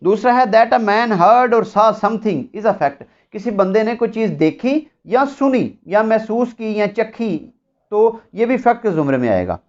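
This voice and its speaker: A lo-fi, slightly dull recording carries a Pakistani-accented law lecturer. The voice sits at a medium pitch. The delivery is didactic and deliberate, explaining points through examples as in exam preparation. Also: expressive, a little mellow. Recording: dull, lo-fi audio.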